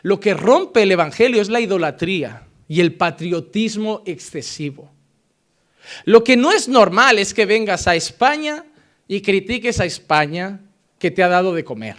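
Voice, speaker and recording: High-voiced Spanish preacher man. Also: animated, moderate pace. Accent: Spain Spanish. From Spain. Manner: impassioned preaching, swinging between loud emphasis and quiet earnest passages. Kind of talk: sermon.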